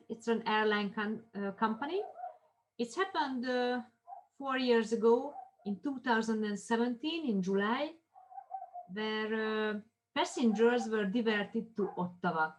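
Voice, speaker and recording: A woman from Armenia lectures on marketing cases, her voice sitting a little high. Her English is halting, full of restarts and fillers. She keeps a steady classroom pace. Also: poor audio.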